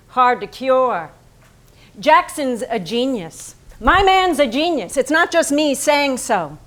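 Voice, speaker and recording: Painter's wife, reproachful and fiercely devoted, higher female voice, unhurried biting theatrical monologue. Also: fairly bright tone. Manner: deliberate, incantatory, biting. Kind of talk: theatrical staged reading, monologue.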